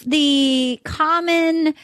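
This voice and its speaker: A woman's voice: high-pitched, fairly even intonation, very slow, fairly bright tone, no echo, clean studio sound. A woman hosting a casual panel chat, recapping a dating show in a chatty, storytelling way. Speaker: podcast host leading a panel.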